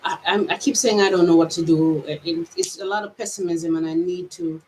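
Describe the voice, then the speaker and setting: A woman's voice: fairly low pitch, fairly even intonation, brisk, a little mellow, slight background noise, low-quality recording. A woman from Jamaica with a mixed Jamaican and American accent, giving a reflective personal vlog monologue in a hesitant, searching way with many restarts. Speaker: vlogger.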